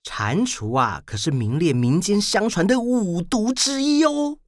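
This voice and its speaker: Woman, mid pitch, very lively intonation, playful educational explainer, dry close recording. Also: a little slow, no room sound. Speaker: children's podcast presenter.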